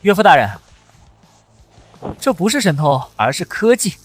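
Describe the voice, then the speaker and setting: Man playing a cocky, high-voiced young hero. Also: sing-song, moderate pace, husky, soft background music, exaggerated voice. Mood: confident, explaining. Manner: swaggering, mocking, confident. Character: cocky, fearless young male hero with modern weapons. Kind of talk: animated xianxia drama dubbing.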